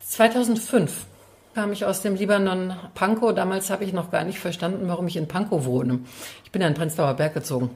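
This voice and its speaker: A middle-aged woman from Hesse, a district mayor, chatting casually and lively in an interview, laughing easily. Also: fairly low pitch, a little fast. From Hesse, Germany.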